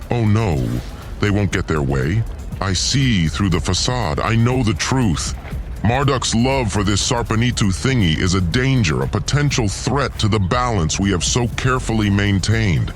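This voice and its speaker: A man playing a cold, arrogant ancient god in a radio drama, delivering every line with pompous contempt. The voice sits low and steady, and faint music plays underneath. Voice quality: pompous, scornful declaiming. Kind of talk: audio drama acting.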